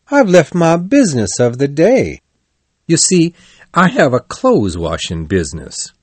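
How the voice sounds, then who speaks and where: A boastful, chattering toad played by a man posing as a washerwoman, his voice raised a little and swooping all over. He sounds fussy and husky in a storybook reading. The recording is dry and close. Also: medium pitch, no echo. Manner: chattering, boastful, fussy old-woman act.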